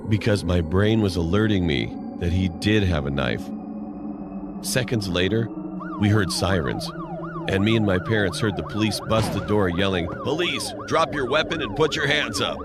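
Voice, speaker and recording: Male narrator for scary first-person stories, deep voice, heavy music bed underneath. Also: huge pitch swings, moderate pace. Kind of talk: scripted first-person horror story narration. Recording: music playing loudly behind. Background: loud music.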